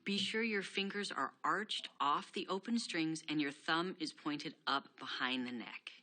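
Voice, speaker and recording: Woman, guitar instructor, very low voice, bright crisp tone, clear step-by-step delivery. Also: measured pace. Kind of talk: scripted guitar lesson instruction.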